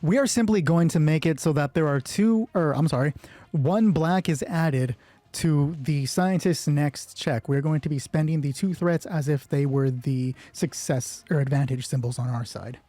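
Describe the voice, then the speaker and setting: A man's voice: a little high, normal speed, mellow, no echo. A man casually narrating an improvised solo roleplay game, thinking aloud and swearing offhandedly.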